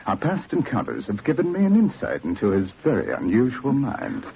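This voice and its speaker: An actor playing a smug, cold criminal mastermind, measured and condescending, in a 1940s radio drama. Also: male voice, medium pitch, huge pitch swings, creaky. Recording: dull, noisy old radio recording.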